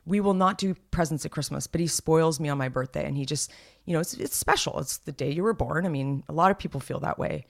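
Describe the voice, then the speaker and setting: A woman in her thirties co-hosting a podcast, a little hoarse, chatting casually and rambling with lots of fillers and restarts. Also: low-pitched, quick pace. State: slightly raspy, hoarse voice. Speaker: podcast co-host. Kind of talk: casual chat.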